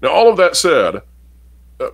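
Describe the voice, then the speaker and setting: A man's voice: fairly high pitch, huge pitch swings, measured pace, gravelly. A man co-hosting a casual commentary show, reading out an article and giving emphatic opinions to viewers. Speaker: podcast co-host.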